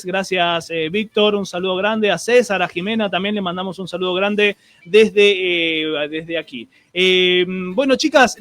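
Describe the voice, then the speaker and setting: A man's voice: sits high, a bit bright. A man with an Argentine accent hosting a streamed radio show, chatting and greeting listeners with many restarts and fillers.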